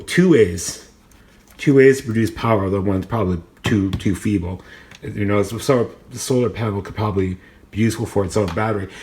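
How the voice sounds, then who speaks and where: A man's voice: lowish voice, a little mellow. A man giving a casual, unscripted gadget demonstration, rambling tentatively and thinking aloud, recorded indoors on a phone. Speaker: amateur gadget reviewer. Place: indoors, kitchen counter. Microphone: phone camera, held or propped nearby.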